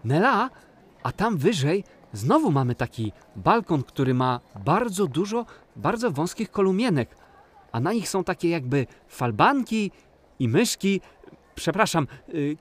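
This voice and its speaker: A performer playing an excitable, mischievous little boy in a children's audio play, eagerly blurting things out. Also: medium pitch, unhurried. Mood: curious. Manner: eager, blurting questions and exclamations.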